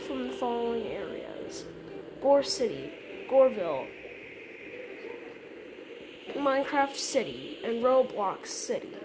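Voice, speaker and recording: A woman reading a scripted mock emergency alert as a broadcast announcer, sometimes halting and repeating words. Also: a little high. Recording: noisy recording with steady background noise.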